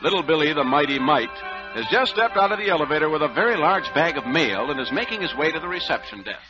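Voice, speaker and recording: Higher-pitched vintage male radio announcer. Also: animated, fast. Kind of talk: scripted 1940s radio announcing and narration. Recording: dull old radio broadcast recording. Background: loud music.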